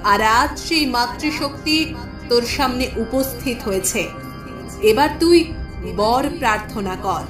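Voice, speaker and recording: A high, unhurried female voice of a majestic mother goddess played by an actress, wrapped in echo. She is tender and solemn, in mythic audio drama style. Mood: tender, serene. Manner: affectionate, solemn blessing. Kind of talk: mythic audio story acting. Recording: echoing voice under loud music.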